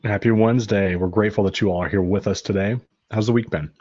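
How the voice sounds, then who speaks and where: A man co-hosting a casual podcast, recovering from illness and a little raspy. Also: lowish voice, steady tone, quick pace, a little mellow, dry recording, highs cut off. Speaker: podcast co-host.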